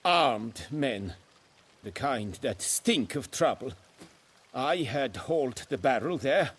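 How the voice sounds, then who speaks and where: A man's voice: medium pitch, sing-song, slow, a bit bright, breathy edge, full character voice. An actor playing a frightened, guilt-ridden male wine master of noble birth in a video game, stammering and pleading deferentially. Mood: anxious confession. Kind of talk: dramatic video game acting.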